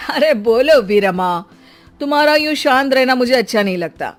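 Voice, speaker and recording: A woman's voice: sits high, animated, moderate pace, faint music behind. Lady doing a smug rich husband, teasing and dismissive, moral story acting. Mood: teasing, concerned. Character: mocking, rich young businessman husband.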